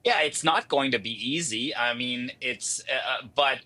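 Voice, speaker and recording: A man giving conversational political commentary as a panelist, with a halting, hesitant delivery. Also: mid-range voice, bright, forceful tone, low-quality recording.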